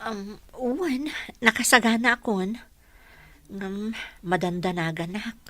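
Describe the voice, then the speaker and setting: A woman's voice: medium pitch, slow, fairly bright tone, no echo. A woman playing an anxious, grateful young wife in a radio drama, earnest and emotive, with an Ilocano accent. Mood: worried, grateful.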